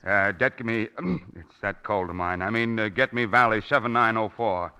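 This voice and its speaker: A man's voice: fairly low pitch, moderate pace, sharp tone, clear, resonant tone. A man playing a blustering, flustered and hesitant police commissioner, in 1940s radio acting style. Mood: flustered bluffing. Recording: old 1940s radio recording.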